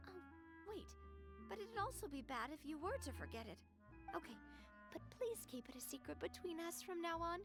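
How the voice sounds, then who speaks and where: Young noblewoman, game character, flustered, pleading, very high voice, lively pitch. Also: moderate pace, over music. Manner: embarrassed, pleading. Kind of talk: game voice acting.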